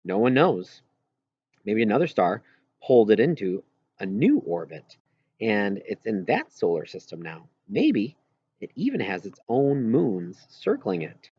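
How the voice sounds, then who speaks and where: Man voicing an earnest, eager stargazing boy, slightly husky. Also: fairly low pitch, wildly swooping pitch, measured pace, no echo, lo-fi sound, exaggerated voice. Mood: wistful. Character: earnest boy, keen stargazer, quietly wistful. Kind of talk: audiobook character dialogue.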